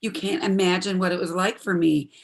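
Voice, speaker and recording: A woman's voice: fairly low pitch, fairly even intonation, brisk, vocal fry. A woman playing an earnest, troubled daughter in her twenties in a stage drama, speaking in a heartfelt, pleading way. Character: earnest, troubled 26-year-old daughter, medical student. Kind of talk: stage play dialogue, audio performance.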